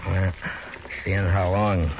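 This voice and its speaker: An actor playing a calm, stern frontier marshal who talks in a steady, measured way, in a 1950s radio drama. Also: male voice, deep voice, noisy background. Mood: firm. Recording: lo-fi 1950s radio broadcast with music.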